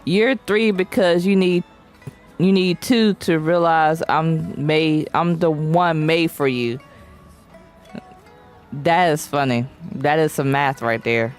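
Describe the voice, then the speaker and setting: A woman's voice: low voice, fairly even intonation, slow pace, soft background music. A young woman streaming a game, chatting casually and reading chat aloud in slangy, playful talk. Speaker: VTuber streamer. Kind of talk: casual live-stream chat while gaming.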